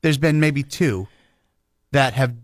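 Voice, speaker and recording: A man co-hosting a talk show, joking and excitable in casual banter. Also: medium pitch, slow pace, no room sound, clean studio sound. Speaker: co-host.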